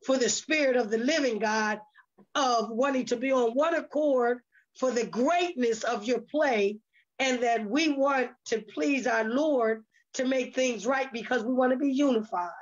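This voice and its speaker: High pitched lady, folksy n preachy, short punchy phrases, muffled lofi audio. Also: a little slow. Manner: exhorting, folksy, speaks in short emphatic phrases. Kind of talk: casual, preacherly interview talk.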